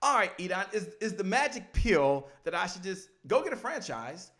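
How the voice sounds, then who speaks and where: A middle-aged man with a fairly high voice hosts a relaxed interview from his home studio. He speaks into a close boom microphone and talks with lively energy, gesturing as he goes. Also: moderate pace. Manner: animated, gestures with hands while talking. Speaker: show host. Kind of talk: casual green-room interview. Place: home studio with poster behind. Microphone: studio mic on boom arm.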